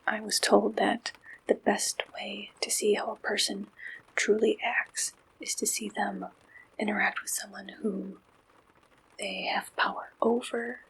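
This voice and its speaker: A woman performs an ASMR roleplay as an attentive matchmaker. She speaks in a bright, crisp-edged whisper with very little movement in pitch. Her delivery is very slow and deliberate, laid out in short, breathy phrases. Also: flat delivery, a little hiss or hum, poor audio. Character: attentive female matchmaker at a werewolf dating service.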